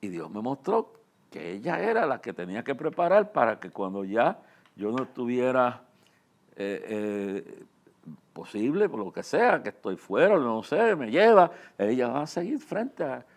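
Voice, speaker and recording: Older puerto rican guy preaching off the cuff, mid pitch, rambles, repeats himself, talks right to you. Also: big pitch swings. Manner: rambling, halting, repeats phrases for emphasis; earnest, addresses listeners directly. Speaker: preacher and ministry leader. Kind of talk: informal religious address, personal testimony.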